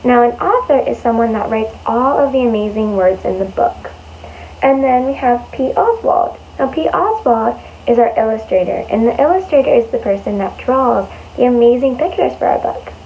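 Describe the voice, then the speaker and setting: A woman reading a picture book aloud to young children in an expressive way, with exaggerated emphasis and drawn-out words. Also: fairly high pitch. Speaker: children's storyteller. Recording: dull lo-fi sound with faint noise.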